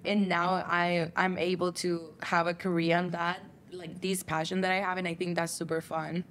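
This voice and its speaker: A woman's voice: fairly low pitch, little pitch movement, normal speed, fairly bright tone, slight background noise. Chatty young woman from El Salvador. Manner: upbeat, chatty, frequent "like" fillers. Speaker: guest, chemical engineer and content creator. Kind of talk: casual interview chat. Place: TV studio set, seated on couch.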